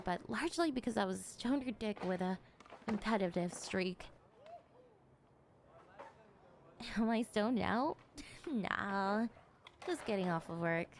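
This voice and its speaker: A woman playing a slangy, affectionate, apologetic young skater in an ASMR roleplay, talking haltingly and emotively to an old friend. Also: on the high side, a little hiss or hum. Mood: teasing, relaxed. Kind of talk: ASMR roleplay acting, casual one-sided dialogue.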